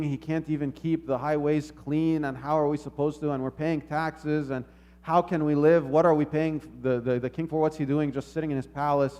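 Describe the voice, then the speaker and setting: A man's voice: mid-range voice, a little mellow. A man preaching a sermon in an instructive way, repeating phrases and checking in with short questions. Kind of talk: sermon with scripture readings and stories.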